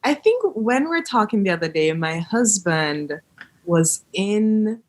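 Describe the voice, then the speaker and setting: A woman hosting a podcast, asking questions in an enthusiastic, warm manner. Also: mid-range voice, lively intonation, takes it slowly. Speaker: podcast host, birth coach. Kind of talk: casual interview.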